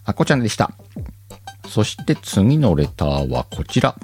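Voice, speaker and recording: A man, hosting a relaxed chat show, reads listener letters and riffs on each one. His voice sits fairly low but moves up and down a lot. Soft music plays quietly behind him. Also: moderate pace. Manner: chatty, laughs easily, comments aloud on letters as he reads them. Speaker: podcast host reading listener letters. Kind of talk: casual chat. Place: home recording.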